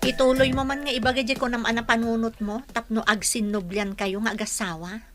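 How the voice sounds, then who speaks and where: A woman's voice: a little high, fairly even intonation, brisk, fairly bright tone, faint music behind, close to an everyday voice. A woman playing a practical, reluctant friend who questions and protests, in a Filipino radio drama. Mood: urging.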